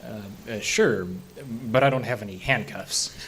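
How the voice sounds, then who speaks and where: A man's voice: on the low side, measured pace, fairly bright tone, a little hiss or hum, rough recording. A man acting the part of a skeptical but curious park ranger in an audio drama, speaking conversationally with some hesitation. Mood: easygoing. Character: level-headed, skeptical male park ranger with quiet curiosity. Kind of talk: naturalistic audio drama dialogue.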